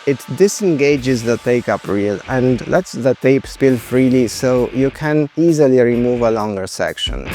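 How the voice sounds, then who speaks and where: Male presenter, 30s-40s, mid-pitched, scripted explainer read, measured and precise, music behind. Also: a little mellow. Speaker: video presenter.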